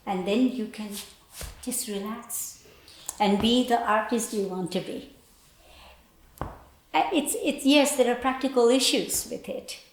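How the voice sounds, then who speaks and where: An older woman from Bangladesh with a Bangladeshi accent, reflecting on her life as a painter in a thoughtful, halting way with pauses. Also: on the high side, slight background noise. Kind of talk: reflective personal interview. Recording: roomy, echoey indoor sound.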